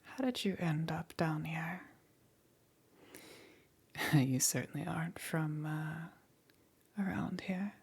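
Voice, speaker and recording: A woman voicing a seductive, possessive yet melancholy demon queen in a whispered ASMR roleplay, slow and softly condescending, with a breathy, husky voice. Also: sits low, exaggerated voice. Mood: probing, amused.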